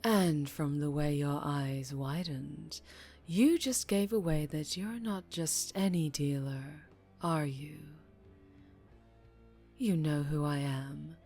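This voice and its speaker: A low-pitched female mafia boss, acted by a woman in an ASMR roleplay. She takes her time over every phrase, teasing with courteous charm and veiled menace. Faint music plays behind her. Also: a little mellow, exaggerated voice. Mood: quietly menacing. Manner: slow, deliberate, polite with veiled menace.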